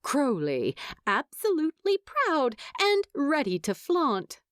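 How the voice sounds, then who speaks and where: Woman reading fanfic, higher voice, really swoopy n expressive, dry close sound. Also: takes it slowly, no room sound. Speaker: narrator. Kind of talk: scripted fan fiction narration.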